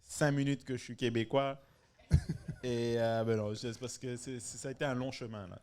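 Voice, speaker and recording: A man's voice: medium pitch, steady tone, moderate pace, darkish tone, smooth. A man in his thirties, born in France and long settled in Quebec, chatting casually and playfully as a comedian guest. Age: 30s. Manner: playful, joking. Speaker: stand-up comedian, podcast guest. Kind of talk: casual chat.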